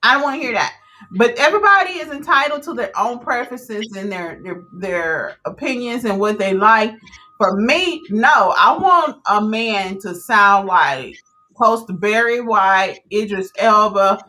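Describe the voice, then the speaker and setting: A woman hosting a live chat show, animated and outspoken, with lots of exclamations. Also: mid-range voice, a little slow, rough recording. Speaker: host.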